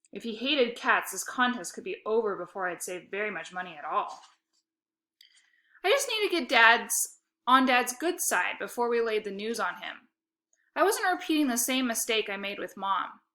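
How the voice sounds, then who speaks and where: A woman reading a children's story aloud in an expressive, emphatic narrating style. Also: a little high. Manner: animated, emphatic dialogue.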